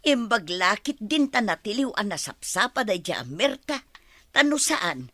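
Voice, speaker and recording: A woman's voice: medium pitch, big pitch swings, measured pace, sharp tone, heavily acted. An actress playing a woman who scolds and lectures, drawing out her words. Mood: scolding. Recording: music bed under voice.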